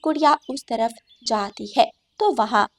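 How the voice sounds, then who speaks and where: Casting: female narrator for kids' animated tales, fairly high pitch, unhurried delivery. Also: creaky. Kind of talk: scripted children's story narration.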